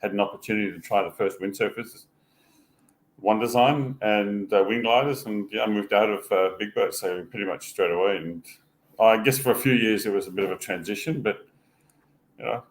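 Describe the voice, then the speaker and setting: A man in his sixties, raised in Hong Kong, recalls his early years for a podcast. His voice sits low, and he talks in halting, reminiscing runs, full of fillers and restarts. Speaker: guest, former sail designer. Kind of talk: casual podcast interview.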